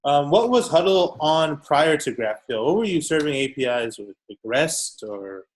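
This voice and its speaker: Upbeat podcast host, higher male voice. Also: big pitch swings, unhurried. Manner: upbeat, casual, frequent fillers. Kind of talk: podcast interview.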